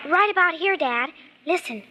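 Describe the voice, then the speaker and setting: Desperate little girl in old radio play, really high piercing voice, whiny n urgent, noisy old recording. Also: lively intonation, normal speed, pushed and bright, heavily acted. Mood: insistent. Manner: breathless, urgent, whiny pleading. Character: desperate, pleading young girl, insistent daughter. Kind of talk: old-time radio drama acting.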